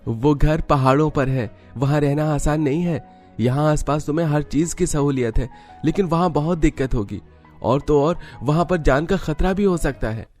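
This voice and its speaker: A man's voice: a little high, normal speed, a little mellow, soft background music, voice pushed up, natural voice. A man voicing a cheerful yet cautious young mountain husband in a cartoon story, coaxing and explaining. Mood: cautioning.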